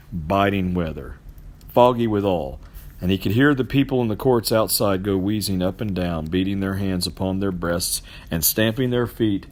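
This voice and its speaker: A middle-aged man narrates literary fiction as an expressive storyteller, with emphatic, theatrical and deliberate phrasing. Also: lowish voice. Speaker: storybook reader.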